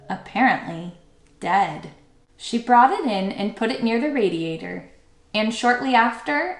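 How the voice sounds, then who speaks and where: A woman's voice: fairly high pitch, roomy sound. A woman teacher reading a children's story aloud as narrator.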